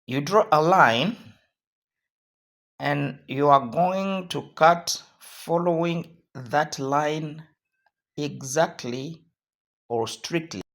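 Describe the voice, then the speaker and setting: Hoarse male tutorial host, slow and repetitive instructional delivery. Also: a little high, a bit bright.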